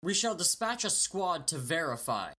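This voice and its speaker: An officious trooper, voiced by a woman in verse drama. The voice is low for a woman, forceful and sharp, with lively intonation. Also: moderate pace, husky, exaggerated voice. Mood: officious, suspicious. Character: brisk, officious imperial trooper. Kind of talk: amateur dramatic reading in Shakespearean verse.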